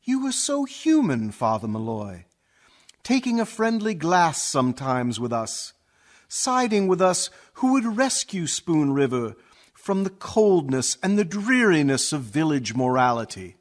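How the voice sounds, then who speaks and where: Man acting mourning townsman, very expressive intonation, unhurried, elegiac verse, fond, radio play. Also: fairly high pitch. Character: doubting townsman, fondly mourning a village priest. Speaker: actor in a community theatre radio play.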